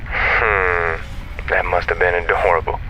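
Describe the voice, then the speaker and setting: A man's voice: low voice, level tone, a little slow, lo-fi sound, background noise. An actor playing a friendly, chatty, self-assured male space comms operator in a sci-fi audio drama, with a folksy, easygoing and playful manner over a radio link. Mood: friendly, curious.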